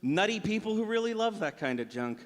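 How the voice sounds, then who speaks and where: An actor playing an awkward, dryly practical grown son in a live radio comedy, often hesitant and exasperated. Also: male voice, sits high, very animated, fairly quick. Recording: live stage in a reverberant hall.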